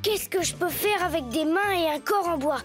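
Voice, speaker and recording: A woman's voice: very high-pitched, normal speed, over music. A woman voicing an anxious, exasperated young boy in a French children's cartoon, whiny and flustered. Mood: resigned, grumbling.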